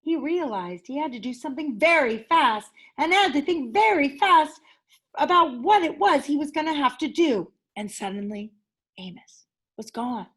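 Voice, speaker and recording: A woman's voice: high voice, expressive, moderate pace, a bit bright. A woman teacher reading a children's story aloud expressively, leaning dramatically on key words.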